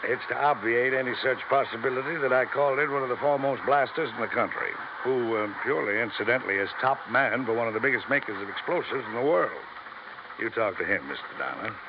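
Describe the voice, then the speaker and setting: A man's voice: mid-range voice, fast talker, close to an everyday voice. An actor with an American accent playing a self-assured, dismissive male construction boss in a 1950s radio drama. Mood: smoothly assured. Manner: dismissive, chuckling. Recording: noisy, muffled vintage radio recording.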